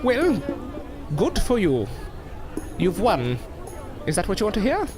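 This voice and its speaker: A proud older male stage magician in an audio drama. His delivery is florid and theatrical, swinging widely in pitch over formal, wordy phrases, with clear music behind him. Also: huge pitch swings, a little slow, crowd noise, exaggerated voice. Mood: wounded.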